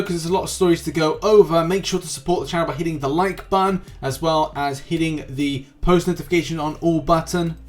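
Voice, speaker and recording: A man hosting a gaming news show, giving wordy, rambling commentary in a presenter's style. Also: high voice. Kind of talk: YouTube gaming news commentary, semi-scripted. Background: background music.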